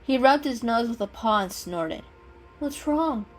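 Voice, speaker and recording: A woman narrates a children's animal story as a dramatic reading. Her delivery is expressive, and music plays behind her voice. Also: a little high, normal speed. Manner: expressive, acts out the dialogue. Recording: music under the voice. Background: background music.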